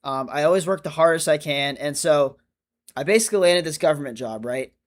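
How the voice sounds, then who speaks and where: A man's voice: a little high, a little fast. A man of twenty-five chatting casually on a livestream, answering viewer comments in a rambling, self-correcting way. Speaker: streamer.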